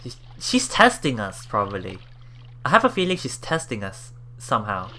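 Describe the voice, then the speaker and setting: A man hosting a let's play, reading game text aloud and adding casual, halting commentary with repeated words and exclamations. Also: mid-range voice, huge pitch swings, pushed and bright, slight background noise. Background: game music.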